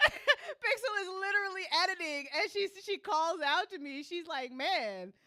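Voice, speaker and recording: A woman's voice: very high-pitched, animated, moderate pace, bright, forceful tone, no room sound, studio quality. A woman hosting a live listen party in casual livestream chat, informal and teasing, with some swearing.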